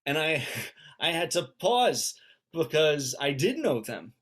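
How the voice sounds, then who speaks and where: A man's voice: a little high, big pitch swings, slow pace, fairly bright tone. An American man in his thirties speaking informally on a video call as a rabbi and author, often repeating and restarting his phrases. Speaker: rabbi and author giving a book talk. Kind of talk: informal personal talk to an online audience. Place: indoors at home on a video call.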